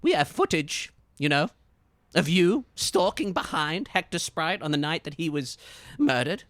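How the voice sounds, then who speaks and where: A man voicing a pushy, blustering male detective with an Irish accent, talking in a loud, sputtering, accusing way. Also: a little high, sing-song, a little slow, dry recording, voice pushed up.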